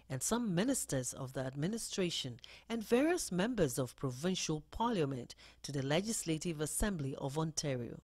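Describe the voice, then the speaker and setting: A woman's voice: lowish voice, a little mellow, no echo, clean studio sound. A woman reading a scripted news report as voice-over in a formal way. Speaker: news narrator.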